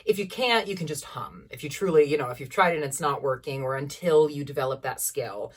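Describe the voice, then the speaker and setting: A woman in her thirties with a low-placed speaking voice, leading a singing warm-up at home in an encouraging, instructive way. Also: brisk, breathy edge, far from the mic. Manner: encouraging, instructive, demonstrates vocal sounds. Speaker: voice teacher. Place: small room at home with a piano.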